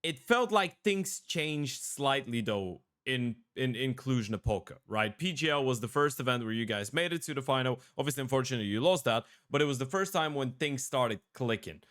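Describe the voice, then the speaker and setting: A man's voice: mid-range voice, animated, moderate pace, few pauses, a bit bright. A man hosting a gaming interview show, asking long, rambling questions with frequent restarts. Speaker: podcast host, interviewer.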